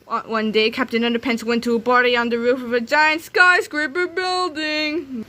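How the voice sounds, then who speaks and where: A woman reading a children's comic aloud in an animated, dramatic, expressive way, occasionally stumbling and re-reading. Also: sits high, moderate pace. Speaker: read-aloud narrator.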